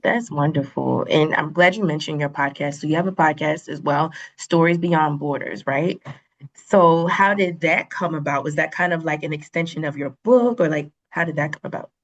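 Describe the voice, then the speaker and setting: A podcast host asking questions in a warm, encouraging, casual way. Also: mid-range voice, moderate pace, highs cut off. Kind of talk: casual interviewing.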